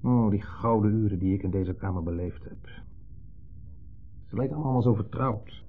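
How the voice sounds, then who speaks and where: A man's voice: fairly low pitch, normal speed. A male actor playing a smug, needling ex-husband in a 1970s Dutch radio drama, theatrical and falsely jovial in an insinuating way. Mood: insinuating, bitter. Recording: muffled old 1970s radio recording.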